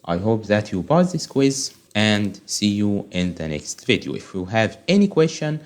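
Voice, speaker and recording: A man whose first language is Arabic, tutoring on software testing and walking through a quiz step by step, checking in often with "okay?". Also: fairly low pitch, big pitch swings, unhurried. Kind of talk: screen-recorded tutorial walkthrough.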